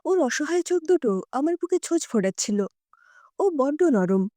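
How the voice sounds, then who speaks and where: A woman narrating a dramatic story in the first person with an emotive, expressive delivery. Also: high-pitched, normal speed, dry recording.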